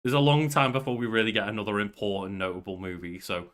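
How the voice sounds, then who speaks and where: Young guy cohosting a podcast, bit low but really bright punchy voice, casual talk. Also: fairly even intonation, quick pace. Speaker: podcast co-host. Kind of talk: casual movie chat. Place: indoor room, on a video call.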